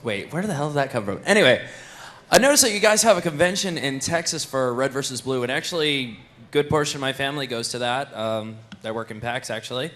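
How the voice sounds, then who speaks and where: Bright-voiced man joking from the audience. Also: medium pitch, lively intonation, fairly quick. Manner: jokey, performing for the crowd. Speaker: audience member asking a question. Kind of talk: rambling, joking question from the floor. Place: audience of a fan convention panel.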